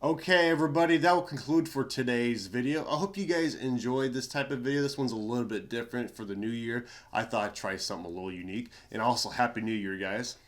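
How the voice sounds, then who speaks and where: A man's voice: medium pitch, fast, hardly any pauses. Stuffy-nosed young man, rambling casually. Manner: rambling, slangy, with frequent fillers and chuckles. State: nasal, stuffy nose from a cold; tired. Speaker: YouTuber. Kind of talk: casual commentary on reddit stories.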